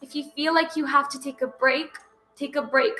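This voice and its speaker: A woman leading a guided breathwork session, coaching slowly and soothingly, with repeated prompts and audible sighing breaths. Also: high-pitched, fairly even intonation. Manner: slow, soothing, repetitive coaching with loud breathing sighs. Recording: music playing behind.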